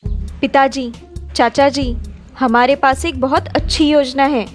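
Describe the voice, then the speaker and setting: A woman's voice: high-pitched, fairly even intonation, slow. A woman playing an inquisitive, sensible young village girl in a cartoon. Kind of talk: cartoon dialogue. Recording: music behind the voice.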